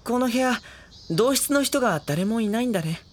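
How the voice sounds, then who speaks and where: A woman playing a gentle, reserved high school boy in an anime audio drama, soft-spoken, hesitant and polite, with anguish held inside. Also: animated, moderate pace, a little hiss or hum, natural voice. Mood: hesitant, apologetic. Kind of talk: anime audio drama acting with inner monologue. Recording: studio drama with music underneath.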